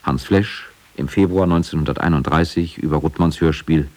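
Male documentary narrator, very low voice, scripted radio feature delivery. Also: moderate pace, darkish tone.

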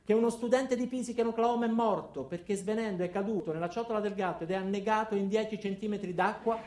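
A man narrating a first-person comic monologue as an actor, in a deadpan, terse manner. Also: high voice, quick pace, echoey room.

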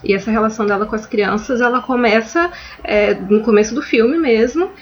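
A woman's voice: fairly high pitch, fairly quick. Reflective woman, slightly muffled recording. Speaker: podcast panelist. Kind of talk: casual film discussion. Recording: slightly dull, lo-fi audio.

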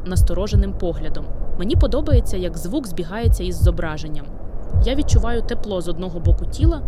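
A woman narrating first-person fan fiction as a dazed, gently curious amnesiac. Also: fairly low pitch, background noise, close to an everyday voice. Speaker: audiobook narrator.

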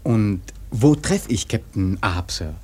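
An actor playing an earnest young sailor in a radio play, soft-spoken, polite and respectful. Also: male voice, on the low side, expressive, a little slow, husky. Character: eager, polite young sailor seeking a whaling berth. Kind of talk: German radio play acting.

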